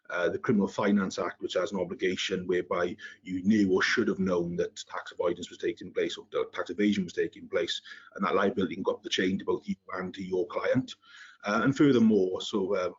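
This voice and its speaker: A man with a Welsh accent presents in a business webinar, his voice very low and a bit husky. He hesitates often, filling gaps with ums and restarts. The sound is muffled, as from an online call. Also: level tone, fairly quick. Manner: hesitant, many fillers and restarts. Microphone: video call.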